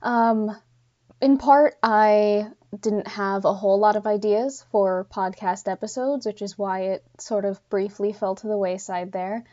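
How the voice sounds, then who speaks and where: Dull lo-fi recording, woman, medium pitch, mild congestion, casual solo podcast host, unscripted, rambling, self-correcting. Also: fairly even intonation, measured pace, mellow. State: slightly congested from allergies. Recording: dull, lo-fi sound.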